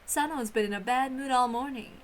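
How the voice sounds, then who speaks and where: A woman's voice: sits high, a little fast, a little hiss or hum, natural voice. A woman voicing a haughty, teasing, prank-loving witch in a theatrical visual novel read-aloud, mocking and grandly condescending. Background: music.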